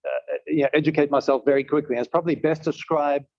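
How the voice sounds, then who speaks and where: South african guy giving a relaxed talk, lots of ums and restarts, dull muffled stream. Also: a little high, fast, vocal fry, no echo. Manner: conversational, with frequent restarts and fillers. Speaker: guest speaker, tech CEO. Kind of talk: speech at a community event.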